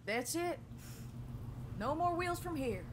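A woman's voice: high-pitched, expressive, slow, background noise. A woman playing a brash, boastful young female mechanic in a video game cutscene, cocky and showy.

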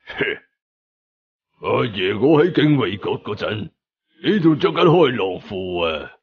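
A man voicing a defiant veteran fighter villain in his thirties from an audio story, rough and sneering, with a Hong Kong Cantonese accent. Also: lowish voice, big pitch swings, phone-quality sound, voice pushed down. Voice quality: rough, gravelly. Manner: slow, taunting, sneering.

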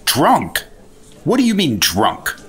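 An actor playing an earnest, defensive junior government agent in a 1950s-style radio drama, eager and quick to protest. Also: male voice, a little high, huge pitch swings, breathy edge, exaggerated voice. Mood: indignant, defensive. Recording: loud music bed behind voice.

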